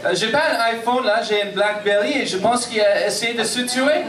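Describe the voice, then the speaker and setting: Man in his thirties, anglophone Montreal accent, English native speaker, stand-up comedy, high-pitched, echoey room picked up from afar. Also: a little fast, a bit bright, recorded from a distance. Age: 30s. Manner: self-deprecating, punchy, frequent "ok?" check-ins. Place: comedy bar stage.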